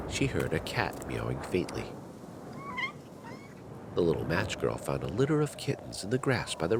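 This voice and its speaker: A man narrating a fairy tale in a measured, dramatic storytelling manner. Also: extremely low pitch, vocal fry, background noise.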